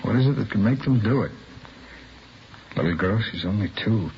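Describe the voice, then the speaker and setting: An actor playing a bewildered, grieving father in a 1950s radio drama, speaking haltingly and subdued. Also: on the low side, lively intonation. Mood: grieving, lost. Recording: muffled vintage radio recording, faint hiss.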